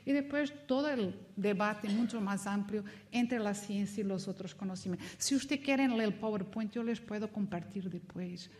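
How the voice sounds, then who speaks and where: A woman academic from Mozambique gives an informal lecture in a language that is not her first. She has a Mozambican Portuguese accent and a mid-pitched voice. Her manner is reflective and explanatory, with non-native phrasing. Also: moderate pace, few pauses, darkish tone. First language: not Spanish, learned it as an adult; also speaks Portuguese. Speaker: academic speaker.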